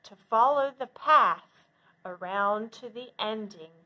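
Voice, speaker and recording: A woman's voice: a little high, takes it slowly, highs cut off. A woman presenting as a children's librarian, explaining things to young viewers in simple steps. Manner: simple, clearly phased step-by-step explaining. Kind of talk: instructional talk for children.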